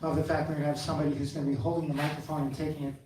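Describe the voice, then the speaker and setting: A forum moderator giving polite, informal instructions to an audience, halting and searching for words. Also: mid-range voice, level tone. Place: large echoey room at a public forum. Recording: echoey hall, distant mic, clear noise.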